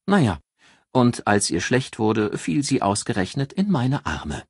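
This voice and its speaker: A man voicing an amused, chatty countess who tells anecdotes in a lively, teasing way in an audiobook. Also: mid-range voice, unhurried, husky, no echo, clean studio sound, voice pushed up, exaggerated voice. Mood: wry, teasing. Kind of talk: audiobook character dialogue.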